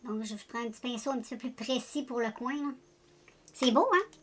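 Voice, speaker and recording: A woman's voice: a little high, fairly quick, slight background noise. A woman with a Quebec French accent chatting casually through a makeup tutorial, rambling and joking at her own expense while speaking to her viewers. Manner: chatty, rambling, self-deprecating, talks directly to viewers. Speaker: beauty vlogger.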